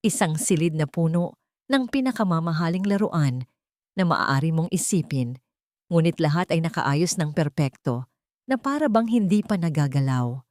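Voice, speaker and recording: Low-pitched woman narrating a dramatic story. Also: a little mellow, dry recording, clean studio sound.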